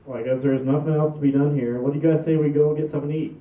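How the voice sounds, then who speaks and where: A man's voice: a little high, fairly even intonation, rapid-fire, natural voice. A man reading an exasperated, level-headed family man at a comedy script table read. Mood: matter-of-fact. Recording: muffled, echoey, far from the mic.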